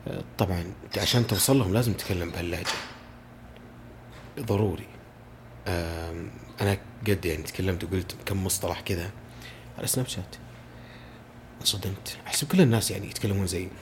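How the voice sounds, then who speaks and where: A man talks in quick, eager bursts, his voice sitting very low. He is a voice-over artist answering questions as a guest in a relaxed podcast chat, with a faint hiss behind him. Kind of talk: casual conversation.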